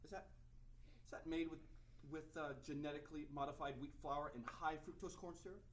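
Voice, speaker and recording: A man in a screenplay table read, playing a pompous, controlling eco-hippie boyfriend who speaks haltingly and condescendingly. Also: on the high side, steady tone, close to an everyday voice. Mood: preachy, scolding. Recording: distant mic with faint noise.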